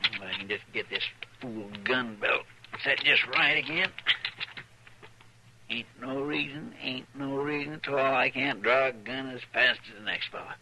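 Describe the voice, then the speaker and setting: A man's voice: mid-range voice, moderate pace, pushed and bright, a little hiss or hum, low-quality recording. A man playing a stubborn, bumbling deputy sidekick in a radio western, with a twangy rural drawl and a folksy, hemming way of talking. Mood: muttering, boastful. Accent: rural American Western drawl. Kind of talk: 1950s radio western acting. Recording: old radio recording.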